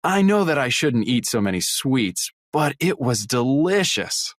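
Lively man reading a textbook script. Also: medium pitch, unhurried, no room sound, studio quality. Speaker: recorded listening exercise speaker. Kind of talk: scripted read-aloud.

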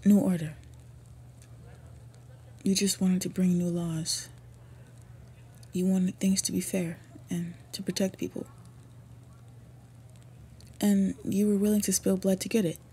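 A woman playing an earnest, respectful young orc princess in a fantasy roleplay audio, speaking softly and haltingly with tender pauses. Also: medium pitch, level tone, husky. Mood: questioning, subdued.

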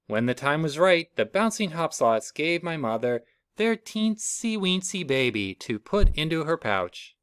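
Male reader, animated storybook delivery, dry home recording. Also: a little slow, hardly any pauses, clean tone, no echo. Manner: lively storybook reading with playful pitch jumps. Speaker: reader of a children's book. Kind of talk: reading a picture book aloud.

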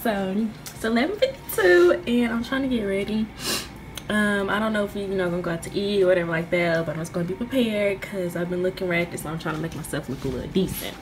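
A young woman in her twenties chatting casually in a vlog from her dorm room, her voice hoarse, filmed on a phone held at arm's length. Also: mid-range voice, fast talker. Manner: chatty, rambling, everyday. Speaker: vlogger. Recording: noisy phone recording.

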